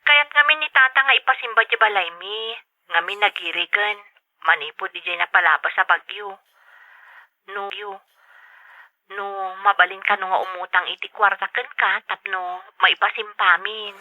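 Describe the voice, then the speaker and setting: A woman's voice: mid-range voice, moderate pace, dry recording, natural voice. An actress playing a woman in an Ilocano family drama, heard through a narrow phone-like sound. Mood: apologetic, pleading. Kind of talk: radio drama acting in Ilocano.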